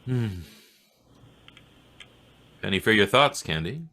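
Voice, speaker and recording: Bright, lively police inspector played by a man. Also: lowish voice, slow pace, full character voice. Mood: tender, teasing. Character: laconic, teasing male police inspector, sweet on the detective. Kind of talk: 1940s radio detective acting.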